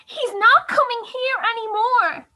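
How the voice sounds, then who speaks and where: Woman playing a shrill, sulky teenage daughter. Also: unhurried, sharp tone, full character voice. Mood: sulky, complaining. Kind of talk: amateur radio drama acting.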